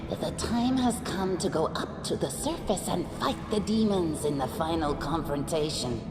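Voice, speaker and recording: An actress playing a guarded, defensive underground tribe scout in a video game, speaking gravely and sceptically. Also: female voice, deep voice, moderate pace, natural voice. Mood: solemn. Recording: echoey hall reverb, music behind.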